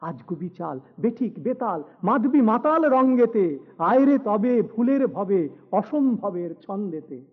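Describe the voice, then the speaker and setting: A man reciting rhymed poetry in an animated, theatrical and whimsical manner. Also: high-pitched, a little slow, narrow, tinny audio.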